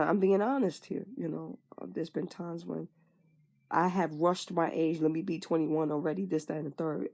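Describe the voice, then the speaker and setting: A woman's voice: deep voice, vocal fry, dark tone. A woman in her twenties frankly venting in a candid personal monologue, swearing casually and trailing off with fillers. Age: 20s. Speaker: vlogger.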